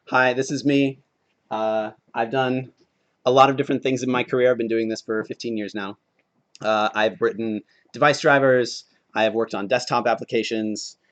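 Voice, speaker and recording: A man in his thirties with a cold and a croaky voice, giving a relaxed, joking tech talk to a meetup audience. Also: medium pitch. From Oregon, United States. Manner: relaxed, self-deprecating humor, frequent "uh". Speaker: conference speaker. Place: meetup venue before an audience.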